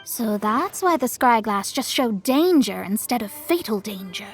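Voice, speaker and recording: A haughty young woman astrologer from a video game. Her high voice sweeps up and down theatrically, pompous and blunt. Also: expressive, measured pace, faint music behind. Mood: dry, haughty. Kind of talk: animated video game voice acting.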